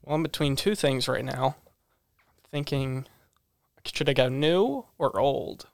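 A man's voice: mid-range voice, takes it slowly, creaky, dry recording. A man co-hosting a radio show, picking songs and chatting with laid-back dry humor. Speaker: radio co-host and song picker. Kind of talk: casual radio chat.

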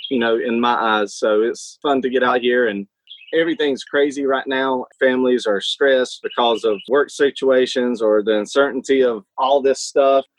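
A man's voice: medium pitch, fairly even intonation, normal speed, poor audio. A man who teaches elementary PE, talking in an easygoing way with lots of fillers. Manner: easygoing, lots of "you know". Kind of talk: casual interview answers.

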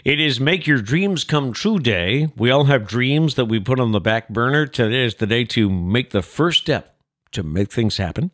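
A man's voice: mid-range voice, lively intonation, moderate pace, a bit bright. A middle-aged man with a nasal, congested voice, hosting a casual morning show and reading the rundown. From South Coast Massachusetts. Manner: chatty, reads segment rundowns. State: congested from a cold. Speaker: show host. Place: small studio.

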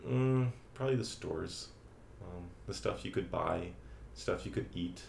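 A young man from France, a teacher, answering interview questions in a relaxed way with frequent ums and uhs. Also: low-pitched, measured pace, vocal fry. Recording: echoey room with faint ambient noise.

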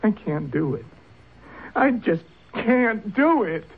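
Tinny noisy old radio drama, nervous young fella, creaky voice, stammers, hates himself, scared of his dad. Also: sits high, big pitch swings, takes it slowly, heavily acted. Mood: anguished. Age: young adult. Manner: hesitant, stammering, deferential. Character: timid, self-loathing young man cowed by his father.

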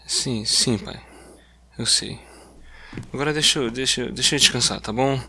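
A man's voice: medium pitch, creaky, pushed and bright, slightly rough, exaggerated voice. An actor with a Brazilian accent playing a shaken, weary young man in a naturalistic audio drama, muttering repetitively. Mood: weary, reassuring. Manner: repetitive, hurried reassurances, muttering. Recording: noisy track with clicks.

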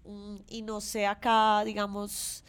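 A woman's voice: medium pitch, monotone, slow, clear, resonant tone. Colombian woman chatting casually. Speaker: podcast co-host. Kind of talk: casual chat review.

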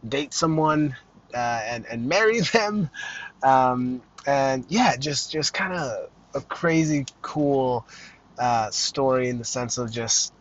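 A man's voice: mid-range voice, slow, fairly bright tone. Thirtyish guy from arizona rambling thru his podcast intro, um uh restarts everywhere, kinda dull lo-fi sound. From Arizona, United States. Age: 30s. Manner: rambling, many fillers and restarts, self-deprecating. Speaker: podcast host. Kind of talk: casual solo podcast monologue.

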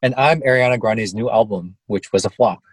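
A man's voice: lowish voice, a little fast, breathy edge, no echo. A young man co-hosting a podcast in casual chat, sassy and teasing. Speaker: podcast co-host.

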